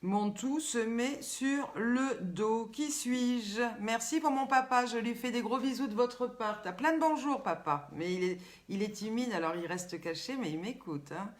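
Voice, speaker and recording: A woman's voice: a little high, unhurried, hardly any pauses. An older woman with a Southern French accent, hosting a chatty live stream, asking riddles and teasing viewers by name. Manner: lively, teasing, chatty, reads viewers' comments aloud. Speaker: host.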